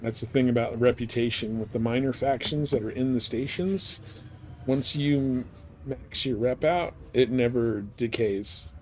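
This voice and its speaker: A man's voice: mid-range voice, unhurried, a little mellow, rough recording. A man giving casual, rambling gameplay commentary as a live streamer, explaining things as he goes.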